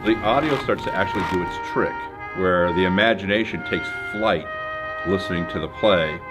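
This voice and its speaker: A man's voice: deep voice, normal speed, over loud music. A man, a theatre director and professor, talking casually and enthusiastically about his production in a behind-the-scenes interview. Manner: chatty, enthusiastic, playful.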